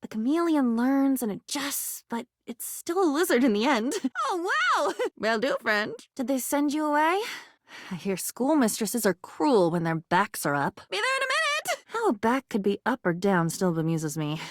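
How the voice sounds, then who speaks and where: High pitched dreamy girlish game character, swoops up and down, earnest n whimsical, kinda flowery and theatrical. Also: very animated, moderate pace, no room sound, studio quality. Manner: whimsical, theatrical, formal flowery diction mixed with chatty asides. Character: female living diary, young, earnest, dreamy, newly self-aware. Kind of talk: video game character voice acting.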